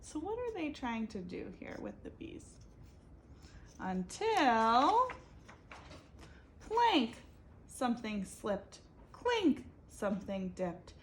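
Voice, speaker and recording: A woman's voice: a little high, huge pitch swings, slow, long pauses, a little hiss or hum. A woman reading a picture book aloud expressively, stopping to ask her young listeners questions. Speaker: reader for children.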